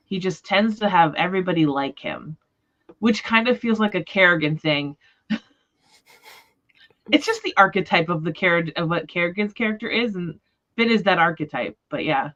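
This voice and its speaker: A woman with a fairly low voice hosts a casual livestream chat about books. She talks informally and tentatively, rambling a little as she goes. The audio is somewhat dull and lo-fi. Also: fairly bright tone. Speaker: host of a book discussion livestream. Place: remote livestream call.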